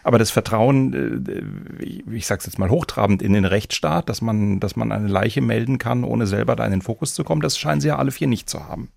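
Man with a very creaky low voice. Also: fairly quick, hardly any pauses, darkish tone, dry recording, clean studio sound. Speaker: podcast co-host, journalist. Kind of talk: conversational discussion, asking questions.

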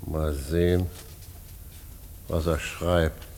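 An actor playing a grave, brooding prison director with weighty, deliberate authority in a German post-war radio play. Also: male voice, little pitch movement, darkish tone, exaggerated voice. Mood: pensive. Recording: long-reverb hall, faint noise and rumble.

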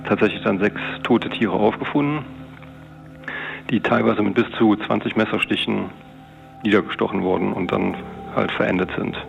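A middle-aged man with a low, heavily creaky voice recounts a police case in an interview. He feels his way through sentences and rephrases them. The recording is dull, with music playing underneath. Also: fairly even intonation, moderate pace, long pauses. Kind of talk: unscripted interview recollection. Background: music bed.

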